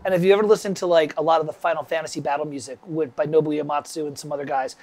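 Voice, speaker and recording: A man's voice: a little high, steady tone, rapid-fire, a little mellow, soft background music. Chatty man with a summer cold. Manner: rambling, chatty, talks to viewers by name. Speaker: music composition teacher. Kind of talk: casual livestream lesson.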